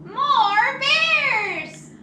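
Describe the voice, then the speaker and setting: A performer voicing excited, insistent children who interrupt a storybook with a called-out refrain. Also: very high-pitched, drawn-out words, roomy sound, a little hiss or hum, heavily acted. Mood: excited, demanding.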